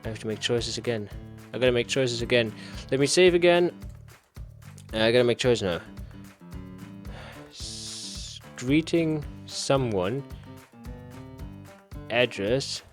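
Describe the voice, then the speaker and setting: Male gaming host, medium pitch, casual read-aloud playthrough style, talks to himself repetitively, soft music underneath. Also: lively intonation, slow, long pauses. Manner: muttering to himself, repeating phrases. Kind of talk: casual playthrough commentary, reading game text aloud.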